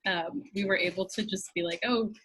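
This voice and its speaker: Woman chatting casually, mid-pitched. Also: fairly even intonation, fairly quick, a bit bright, a little hiss or hum, low-quality recording. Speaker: writer in a theatre collective. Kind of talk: casual chat.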